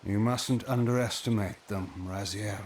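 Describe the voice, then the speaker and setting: Man playing a deep, commanding ancient vampire lord. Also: steady tone, darkish tone, reverberant, distant mic, a little hiss or hum, natural voice. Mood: grave warning. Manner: deliberate, theatrical, ominous. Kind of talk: dramatic video game cutscene acting.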